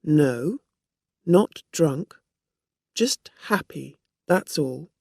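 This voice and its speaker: Storybook woman, performed by a narrator: shrewd, assertive, measured delivery, dry recording. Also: mid-range voice, lively intonation, creaky, darkish tone, no room sound, exaggerated voice. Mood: shrewd, confident. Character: Dorothy Burns, assertive accusing woman, shrewd and controlled.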